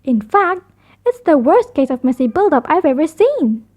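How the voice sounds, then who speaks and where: A woman's voice: sits high, big pitch swings, normal speed, a little mellow. A woman voicing a firm, reasonable father bear in a storybook. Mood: firm, lecturing.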